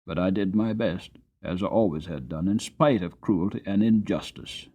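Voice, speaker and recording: A man voicing a weary, long-suffering horse who tells his life story in earnest, deliberate, dramatic storybook narration. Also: low voice, warm tone, husky. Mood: weary, strained.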